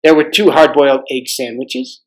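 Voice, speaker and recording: A man reading aloud as a volunteer storyteller, with a measured storybook narration style for families. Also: medium pitch, steady tone, poor audio.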